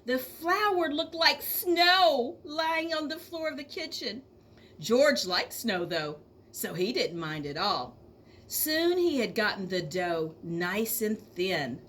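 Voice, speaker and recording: A woman reading a children's storybook aloud like an elementary teacher, speaking expressively to young listeners with drawn-out emphasis. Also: on the high side, animated, fairly bright tone, a little hiss or hum.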